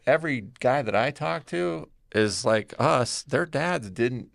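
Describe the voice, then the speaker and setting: A man's voice: mid-range voice, expressive, unhurried, creaky, dry recording, studio quality. A man on a podcast, reflective, thinking aloud in broken fragments. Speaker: podcast co-host, writing a book. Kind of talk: casual conversation.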